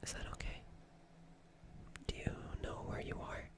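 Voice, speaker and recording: A performer playing a gentle, nervous alien in a whispered ASMR roleplay, speaking in a breathy, hesitant, stammering and soothing way. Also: unhurried, close to an everyday voice. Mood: reassuring, concerned. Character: gentle, nervous alien caring for an injured human.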